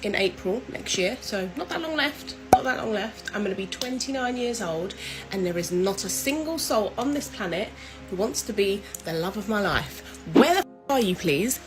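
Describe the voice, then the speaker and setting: A woman in her twenties vents in an exasperated, pleading way. She speaks at an ordinary pitch for a woman, with music clearly audible behind her. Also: expressive, moderate pace, poor audio. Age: 20s. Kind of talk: casual confessional vlog.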